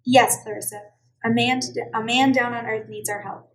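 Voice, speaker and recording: A woman playing a calm senior angel in charge of heaven in a radio play. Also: on the high side, normal speed, lightly acted, poor audio. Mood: firm, instructing.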